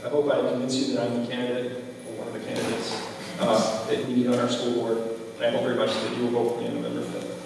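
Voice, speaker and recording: Echoey, fast-talking man giving a campaign speech. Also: mid-range voice, completely flat delivery, gravelly. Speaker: school board candidate. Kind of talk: closing campaign speech at a public forum. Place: large echoey room at a public forum. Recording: echoey hall from far off, faint noise.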